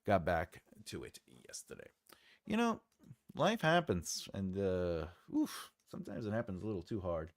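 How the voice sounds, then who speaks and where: A man's voice: deep voice, sing-song, moderate pace, no room sound. A man hosting a writing livestream, chatting casually and jokingly as he reads and answers viewer comments, rambling with lots of fillers.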